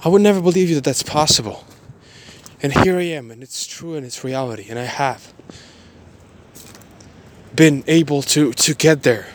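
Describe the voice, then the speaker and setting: A man of twenty-one giving a motivational personal monologue in a halting, repetitive, emphatic way. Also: fairly high pitch.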